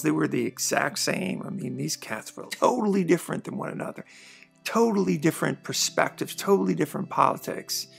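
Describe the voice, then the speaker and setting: A man in his forties giving an earnest, conversational monologue to camera about faith, shifting between emphatic bursts and quiet asides. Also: mid-range voice, gravelly. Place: dark studio backdrop, indoors.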